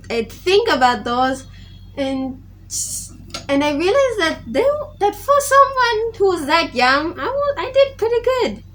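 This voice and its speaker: A woman's voice: sits high, fairly bright tone. A teenage girl talking casually to her viewers, rambling and often restarting her sentences. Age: teens. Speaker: vlogger. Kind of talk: casual vlog tribute.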